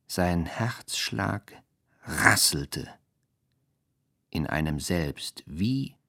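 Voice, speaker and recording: A man reciting experimental poetry in a radio play, in halting, fragmented, stylised phrases. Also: low-pitched, lively intonation, creaky, bright, forceful tone.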